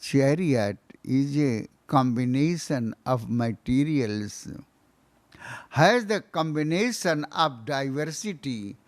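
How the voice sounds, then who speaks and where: A man giving a spiritual lecture, teaching through repeated questions and swelling loudly on key words. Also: medium pitch.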